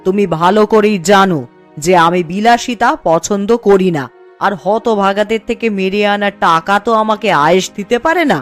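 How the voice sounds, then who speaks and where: A homely village mother from a cartoon, voiced by a woman, with a medium-pitched voice. She nags and scolds like a principled housewife, over background music. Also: moderate pace, lightly acted. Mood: exasperated. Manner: nagging, homely, rustic. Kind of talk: Bengali cartoon story acting. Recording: music behind the voice.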